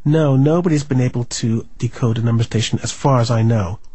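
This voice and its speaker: A man being interviewed as an enthusiast, giving measured, precise explanations. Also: mid-range voice, warm tone, a little hiss or hum. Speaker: interviewee, shortwave recording enthusiast.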